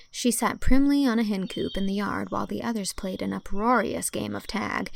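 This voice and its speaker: A woman narrating a literary audiobook in a measured, articulate storytelling manner. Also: medium pitch, gravelly.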